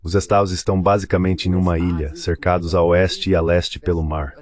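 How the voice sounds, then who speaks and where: A man speaking as the translated voice of a panel guest, giving measured interview commentary on politics. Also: sits low, level tone.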